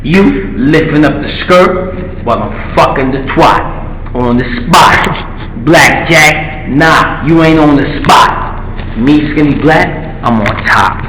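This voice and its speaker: A man's voice: on the high side, slow, fairly bright tone. A man doing freestyle rap and trash talk in a brash, boastful, crude manner. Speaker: amateur rapper. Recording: echoey reverberant space, faint ambient noise.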